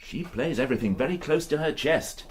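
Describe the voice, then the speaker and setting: An actor in a radio crime drama playing a shrewd, insistent police inspector who thinks aloud emphatically and deliberately. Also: male voice, a little high, animated, lightly acted. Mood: intent reasoning. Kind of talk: BBC radio drama acting.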